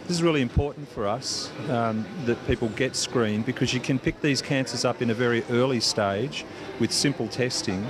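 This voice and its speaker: A man's voice: mid-range voice, normal speed, background noise. A man speaking as a cancer doctor, giving explanatory answers in a news interview.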